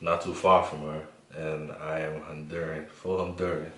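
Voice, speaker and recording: A young man from the Bronx talks in a relaxed, halting way, filling his pauses with ums. His voice sits very low. He sounds set back from the mic, in a room with a noticeable echo. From the Bronx, New York. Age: 20s. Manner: laid-back, halting with many fillers. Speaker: guest. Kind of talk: casual conversational vlog. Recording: roomy and distant from mic.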